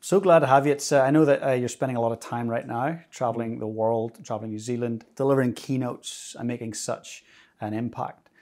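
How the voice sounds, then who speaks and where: A man's voice: medium pitch, fairly even intonation, fairly quick, darkish tone. A man from Northern Ireland hosting a podcast, introducing and questioning his guest earnestly and enthusiastically. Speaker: podcast host. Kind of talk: podcast interview.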